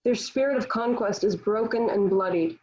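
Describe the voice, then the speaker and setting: A woman's voice: medium pitch, normal speed, warm tone, lo-fi sound, lightly acted. A woman playing a defiant, devout young warrior held prisoner, speaking earnestly and declaiming in a table read of a historical play. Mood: proud, resolute.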